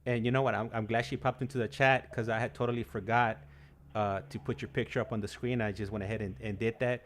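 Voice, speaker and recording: Low-voiced male host with background noise. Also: steady tone, quick pace. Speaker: host of a livestream call-in show. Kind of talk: casual live chat hosting.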